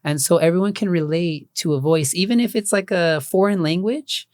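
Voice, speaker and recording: A man with a slightly raspy voice, a guest sound healer talking casually on a podcast, relaxed and full of fillers and restarts. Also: high voice, normal speed, no room sound, studio quality.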